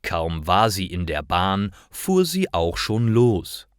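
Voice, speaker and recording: Male narrator for learner stories: low pitch, very unhurried delivery, careful scripted reading. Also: very animated, smooth, dry recording, studio quality.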